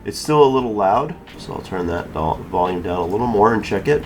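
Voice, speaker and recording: Low-voiced man giving a casual tutorial. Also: expressive, fairly quick, over music. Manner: informal, thinking aloud while working. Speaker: tutorial creator. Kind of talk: casual screen-recorded software tutorial.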